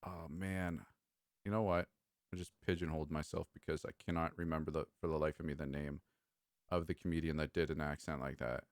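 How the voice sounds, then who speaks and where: A man's voice: rumbling low, flat delivery, a little fast, no room sound, studio quality. A man co-hosting a casual chat, joking around and sometimes trailing off mid-thought. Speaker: podcast co-host.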